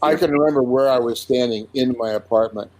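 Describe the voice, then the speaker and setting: An older man in his seventies, a comic artist recalling his early career in a relaxed interview, unhurried with frequent restarts. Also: mid-range voice, crowd noise, rough recording. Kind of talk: casual conversational interview.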